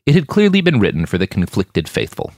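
A man's voice: fairly low pitch, huge pitch swings, brisk, darkish tone, no room sound, clean studio sound. A man reading scripted fiction as the narrator of an audiobook-style podcast.

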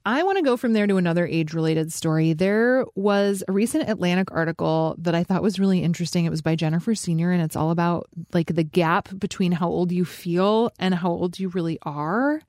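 A woman in her thirties hosts a relaxed podcast chat, warm and playful, with a close, dry sound. She drops quick reactions in between the others' lines. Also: lowish voice, no echo. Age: 30s. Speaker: host. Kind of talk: casual chat.

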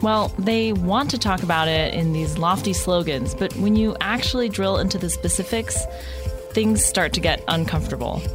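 A woman co-hosts a podcast with wry, conversational narration. Faint music sits quietly beneath her voice. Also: fairly low pitch, moderate pace. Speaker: podcast co-host.

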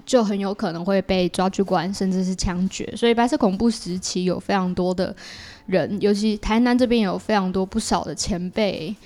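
Taiwanese mandarin woman, podcast guest, middle pitch, chatty explainer who rambles on in long sentences. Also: fairly even intonation, normal speed, warm tone. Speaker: podcast guest, audio drama creator. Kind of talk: conversational interview explanation.

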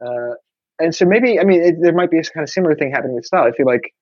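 A man's voice: on the high side, lively intonation, very fast, darkish tone, no echo, highs cut off. A man, talking thoughtfully in a casual conversation with many restarts. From New York. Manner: reflective, many restarts and fillers.

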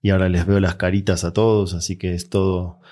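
Deep, mellow Argentine man. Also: a little fast. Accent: Argentine Spanish. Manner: relaxed, reflective, with frequent fillers. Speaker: guest, mental coach. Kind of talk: interview answers.

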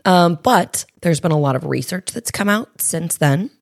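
Raspy, low-voiced woman podcaster. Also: lively intonation, moderate pace, no room sound, clean studio sound. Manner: candid, chatty, with frequent fillers. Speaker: podcast co-host, health coach. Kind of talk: casual podcast chat.

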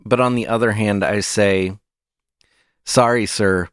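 A man's voice: on the low side, steady tone, unhurried, no room sound. A man co-hosting a podcast, trading dry jokes in casual banter. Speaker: podcast co-host.

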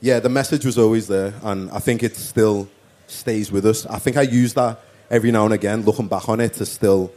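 A man with a Liverpool accent chats loosely as an interview guest. His voice sits a bit low and sounds warm and mellow. He rambles easily, leaning on "like" and "you know what I mean". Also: fairly even intonation, brisk. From Liverpool, England. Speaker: musician guest. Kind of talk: casual interview chat.

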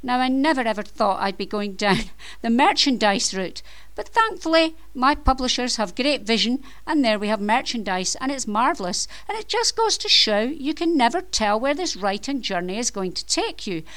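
A woman's voice: a little high, a bit bright. A middle-aged Scottish woman with a Scottish accent hosting her own podcast, reading a scripted introduction in an upbeat, chatty way with playful self-deprecating asides. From Scotland. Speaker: podcast host and author.

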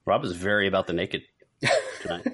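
Man, deep low pitch, podcast co-host, casual conversational delivery. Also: fairly even intonation, a little fast, fairly bright tone. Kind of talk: casual chat.

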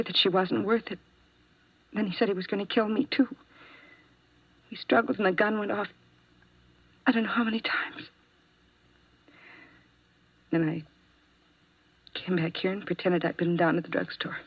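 A young nurse , played by an actress, talks quietly and plainly, with some words dropping to a whisper. It is heard through the dull, narrow sound of an old radio transcription. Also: female voice, mid-range voice, husky, lightly acted. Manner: quiet, confessional, sometimes whispering. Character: young nurse who confesses to a killing. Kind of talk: 1950s radio drama acting.

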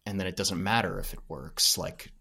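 A man's voice: low voice, normal speed, creaky, sharp tone, breathy edge. A man speaking as a podcast guest in casual conversation, thinking aloud a little hesitantly. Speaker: podcast creator, interview guest.

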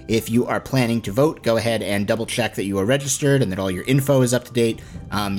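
A man speaks as a podcast host with music clearly playing behind him. His voice sits at an ordinary male pitch, and he delivers announcements and ad copy casually and directly. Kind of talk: casual scripted ad read and announcement.

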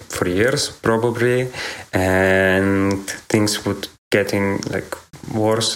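A man in his twenties from Ukraine, a young farmer telling his story in a halting way, with many fillers and restarts. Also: fairly low pitch, poor audio. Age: 20s. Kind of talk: interview testimony.

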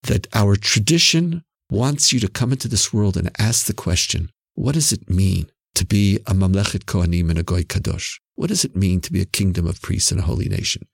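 A man's voice: deep voice, a little fast, vocal fry, hardly any pauses, slightly rough, no echo, clean studio sound. A Canadian-accented man speaking as an interview guest, reflecting on and explaining ideas emphatically, with repeated phrases for effect. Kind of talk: reflective, explanatory conversation on religion.

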